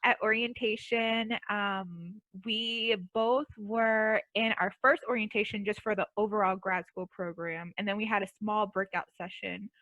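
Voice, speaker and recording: A woman's voice: mid-range voice, steady tone, measured pace, few pauses, sharp tone, no room sound, lo-fi sound. A young woman hosting a podcast, chatting casually and introducing her guest in a friendly, upbeat way. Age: young adult. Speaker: podcast host. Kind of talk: casual chat.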